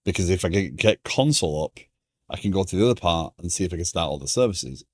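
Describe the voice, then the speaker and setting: A man with a British accent softened by years in America, chatting casually on a coding live stream and thinking aloud in a stop-start way. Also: low voice, animated, fast, husky, no echo, studio quality.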